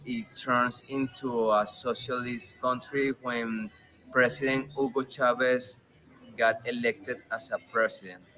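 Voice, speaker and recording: A man from Venezuela with a Venezuelan Spanish accent explains things in halting, casual English as an interview guest, repeating words for emphasis. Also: medium pitch, sharp tone, rough recording. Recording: clear background noise.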